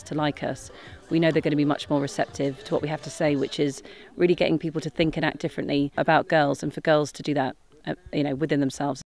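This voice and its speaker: A woman on a drama team, explaining strategy in a documentary interview. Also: low voice. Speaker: member of a radio drama team.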